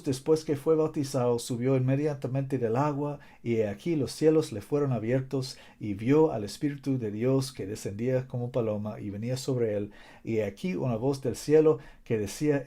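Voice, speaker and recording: A man's voice: medium pitch, dark tone. A man preaching a Bible lesson in Spanish, which is not his native language, reading scripture aloud and repeating his key points earnestly.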